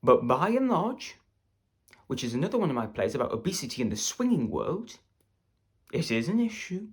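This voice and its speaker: A man's voice: on the high side, very animated, normal speed, breathy edge. A man playing a self-important, aggrieved amateur playwright in an earnest, pompous comic stage monologue.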